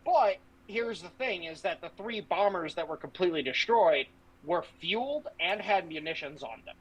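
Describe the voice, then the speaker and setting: A man's voice: sits high, lively intonation, normal speed, highs cut off. A man giving casual political commentary as a podcast host, speaking in a brash, blunt, profanity-laced way.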